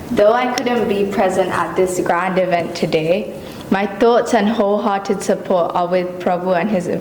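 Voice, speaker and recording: A woman reading prepared messages aloud at a launch event, in a measured, formal way. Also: medium pitch, echoey room, noisy background.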